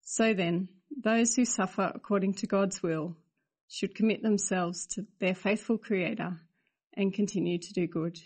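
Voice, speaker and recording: Woman reading scripture aloud, measured. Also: medium pitch, fairly even intonation, a little mellow. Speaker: scripture reader. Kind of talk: Bible reading.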